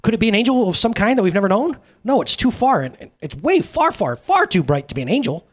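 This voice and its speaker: A man voicing a group of awestruck, anxious male angels, in a high, breathless, excited way. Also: fast talker. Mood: awed.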